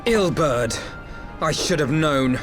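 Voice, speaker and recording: A man's voice: a little high, lively intonation, takes it slowly, music in the background. An actor playing an earnest, principled young nobleman in a video game, speaking in a formal, articulate and grave way. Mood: grave pleading. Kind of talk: dramatic video game voice acting.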